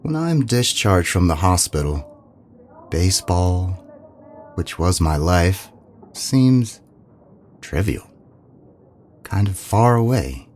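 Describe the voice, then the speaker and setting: An actor playing an earnest seventeen-year-old farmhand who narrates in a reflective, plainspoken way, as in a scripted audio drama. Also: male voice, low-pitched, big pitch swings, takes it slowly, pauses often, a little mellow, slight background noise, exaggerated voice. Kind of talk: scripted first-person narration.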